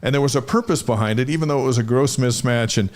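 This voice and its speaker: A man's voice: medium pitch, quick pace. A man with an American accent hosting a sports talk show in a casual, conversational style. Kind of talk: casual sports talk with live chat shout-outs.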